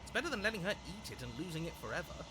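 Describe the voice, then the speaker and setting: A man's voice: high voice, big pitch swings, noisy background. Theatrical male horror-game narrator. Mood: urgent. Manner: theatrical, deliberate, literary phrasing. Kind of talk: dramatic scripted game narration.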